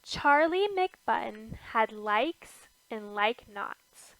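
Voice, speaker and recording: A woman reads a rhyming picture book aloud in a higher-pitched voice with noticeable fry. She takes it extremely slowly, setting out each short phrase with care. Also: lively intonation, fairly bright tone, dry recording, low-quality recording. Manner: deliberate phrasing with pauses between short phrases. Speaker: storybook reader.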